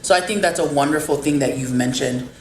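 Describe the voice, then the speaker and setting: A woman's voice: deep voice, fairly quick, a little mellow. A woman hosting a podcast, talking earnestly and encouragingly about faith and family. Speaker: podcast host. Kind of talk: casual interview chat. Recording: roomy with faint background noise.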